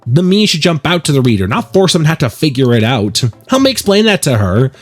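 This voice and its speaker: Man putting on a whiny, high-pitched feisty teenage girl. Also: very animated, fast talker. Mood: indignant, whiny. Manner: whiny, combative.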